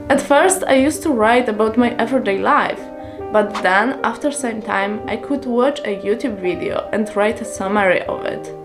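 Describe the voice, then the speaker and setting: A woman whose first language is Polish, calmly explaining language-learning tips in English in a scripted vlog. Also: mid-range voice. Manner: calm, earnest explaining with numbered tips.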